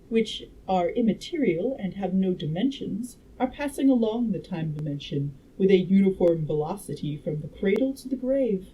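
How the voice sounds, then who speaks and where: Lady doing a victorian gentleman scientist from a novel, sure of himself, explaining stuff, soft dark tone. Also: lowish voice, close to an everyday voice. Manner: didactic, assured. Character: confident Victorian gentleman inventor lecturing on his theory. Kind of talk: audiobook-style character reading. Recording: noisy room background.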